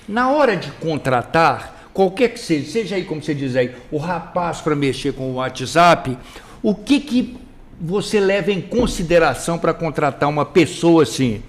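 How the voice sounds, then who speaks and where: A man hosting a casual interview, asking probing questions with hesitant restarts. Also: fairly high pitch. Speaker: host, interviewer.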